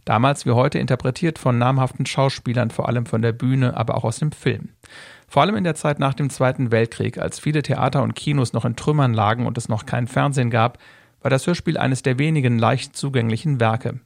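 A man narrating a scripted radio documentary in a measured, factual way. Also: mid-range voice, steady tone, creaky, dry recording, clean studio sound.

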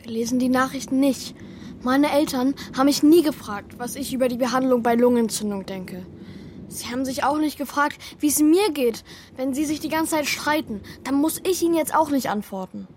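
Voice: high voice, fairly quick, slight background noise, close to an everyday voice. A young performer playing an earnest, worried boy who narrates his own story in a German children's radio drama. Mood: brooding, determined. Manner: earnest, plain-spoken, childlike.

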